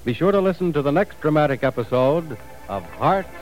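A man's voice: on the high side, animated, brisk, faint music behind, low-quality recording. A male announcer closing a radio serial in a suspenseful, questioning style. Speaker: program announcer. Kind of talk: dramatic radio serial sign-off.

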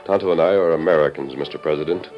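Masked western hero: very deep, commanding, resolute. Vintage radio serial, muffled, music underneath. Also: male voice, fast. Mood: solemn, resolute. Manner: commanding, solemn, formal. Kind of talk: old-time radio drama acting. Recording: muffled old radio recording, music behind.

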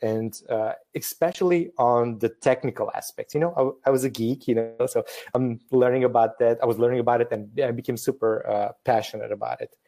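A man's voice: medium pitch, a little fast, warm tone. A man in his thirties from Romania, a photographer chatting casually as a guest, hesitant and self-deprecating with jokes. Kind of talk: casual live-stream conversation.

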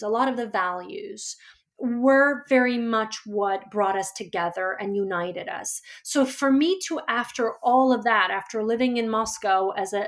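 A woman from Georgia, a first-language Georgian speaker, tells her life story as an author being interviewed, reflective and often pausing on fillers and restarts. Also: a little high. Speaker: author and guest.

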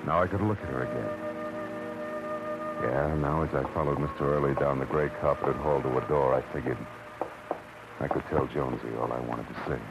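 A man's voice: rumbling low, brisk, long pauses, rough recording. An American man playing a tough, weary private detective in a terse 1940s radio drama style, narrating and speaking in scenes. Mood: brooding. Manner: terse, hard-boiled delivery. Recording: vintage radio recording with music.

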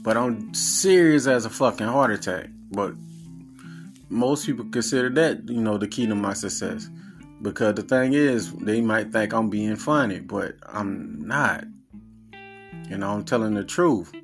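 A man's voice: fairly low pitch, unhurried, vocal fry, soft background music. Blunt, profane Southern man. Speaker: podcast host. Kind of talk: casual monologue.

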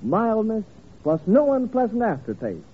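A man's voice: sits high, huge pitch swings, normal speed, breathy edge. An American man announcing a radio show in 1940s style. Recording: thin, phone-like vintage radio recording.

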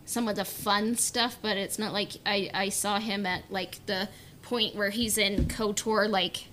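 A woman's voice: mid-range voice, fairly even intonation, a little slow, a bit bright, a little hiss or hum. A woman chatting casually on a podcast panel in a hesitant, filler-heavy way, saying "like" often. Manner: hesitant, peppers talk with "like". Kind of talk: casual chat.

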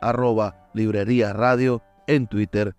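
A man's voice: on the low side, steady tone, measured pace, darkish tone. Venezuelan male radio host over music. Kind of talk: radio programme sign-off.

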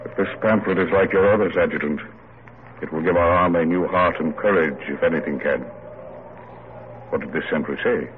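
An actor playing a weary, dignified army general with grave, stately delivery in old-time radio drama. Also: male voice, low-pitched, moderate pace, close to an everyday voice. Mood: grave, kindly. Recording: tinny, noisy vintage radio recording.

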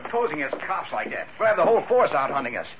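Jittery male henchman, highish and swooping, complaining, noisy vintage radio crime serial. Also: big pitch swings, fast, phone-quality sound. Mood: nervous. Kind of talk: 1940s radio acting.

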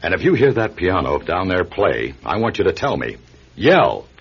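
An actor playing a protective middle-aged lawyer friend in a classic radio drama, urgent, authoritative and coaxing. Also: male voice, low-pitched, huge pitch swings, normal speed, slightly rough, exaggerated voice. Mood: determined. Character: protective, insistent gentleman lawyer and loyal friend. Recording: muffled vintage radio, music behind.

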